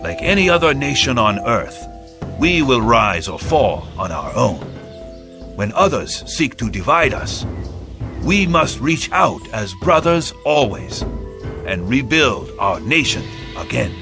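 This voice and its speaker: A man's voice: medium pitch, sing-song, a little slow, music in the background. A man playing a noble, commanding admiral in a video game cutscene, speaking in a formal, declamatory, solemn manner. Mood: solemn resolve.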